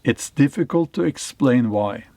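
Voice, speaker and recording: A middle-aged Norwegian man speaking English with a Norwegian accent, giving a slow, reflective monologue to camera, with pauses and fillers. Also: mid-range voice, animated, mellow, no echo. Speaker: channel host, historical novelist. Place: small cave in a forest.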